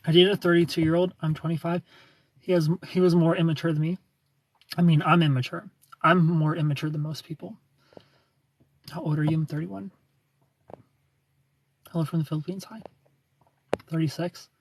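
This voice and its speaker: A man in his thirties hosts a casual livestream, greeting viewers and reading their comments aloud. He jokes at his own expense and laughs often. His voice is slightly raised in pitch and a little husky. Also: level tone, normal speed, pauses often, no echo, poor audio. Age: 30s. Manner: joking, self-mocking, laughs often. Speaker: livestream host.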